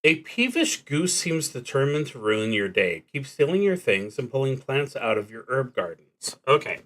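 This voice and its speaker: A man thinking aloud through a solo tabletop roleplaying game, reading prompts and musing hesitantly with long, thoughtful pauses. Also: mid-range voice, big pitch swings, a bit bright.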